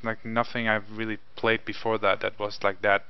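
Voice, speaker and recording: A young man, a native Norwegian speaker, chats casually about games, rambling and restarting his sentences. His voice sits low and comes across smooth and clear. The headset audio sounds dull and lo-fi, like a webcam call. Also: little pitch movement, normal speed. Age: young adult. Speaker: co-host. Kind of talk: casual gaming chat. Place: home room with window. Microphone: headset mic.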